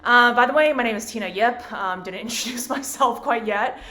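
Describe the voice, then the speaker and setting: A woman's voice: fairly high pitch, a little fast, bright, forceful tone, a little hiss or hum. A woman from Hong Kong moderating a virtual panel, upbeat and chatty.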